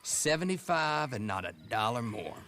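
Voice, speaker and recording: An actor playing a folksy, haggling American dealer in stolen livestock, amused and unflappable, in a video game. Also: male voice, medium pitch, very animated, normal speed, bright, forceful tone. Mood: haggling, stubborn. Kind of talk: video game cutscene acting.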